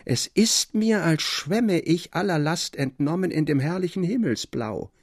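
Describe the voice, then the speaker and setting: A man playing a cheerful recovering invalid who tells stories in an expressive, articulate, theatrical way for a literary radio drama. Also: on the high side, unhurried, husky, dry recording, clean studio sound, full character voice. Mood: elated. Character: Theodor, cheerful man recovering from illness, enthusiastic storyteller. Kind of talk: literary radio reading with acted dialogue.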